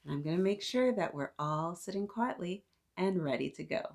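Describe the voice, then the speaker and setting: A woman's voice: on the low side, expressive, moderate pace, vocal fry. A woman hosting storytime, chatting interactively with young children in an encouraging, playful manner.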